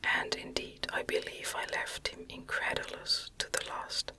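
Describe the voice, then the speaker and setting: Female ASMR audiobook narrator: whispers throughout, slow and careful phrasing, close on the mic. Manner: soft, slow, deliberate delivery with careful phrasing. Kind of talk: ASMR whispered reading of a classic novel.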